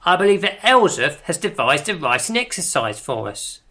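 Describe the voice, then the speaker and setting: Pompous poetry-circle leader, arch and theatrical, lively intonation, forceful tone. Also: female voice, deep voice, normal speed, exaggerated voice. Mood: grand, coy. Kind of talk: radio drama acting.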